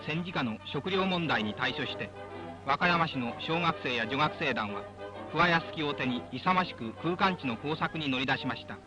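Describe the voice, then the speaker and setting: A male newsreel narrator of the 1940s speaks in a rather high voice, formal and declamatory. The delivery is rousing wartime narration. The old soundtrack is dull and lo-fi, with prominent music playing behind him. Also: expressive, normal speed. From Japan. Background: loud background music.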